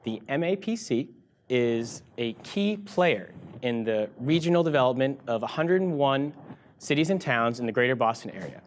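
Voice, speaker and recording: A man's voice: mid-range voice, lively intonation, moderate pace. An American man, a co-host, giving a scripted introduction in a presenter's way. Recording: faint background noise, room ambience.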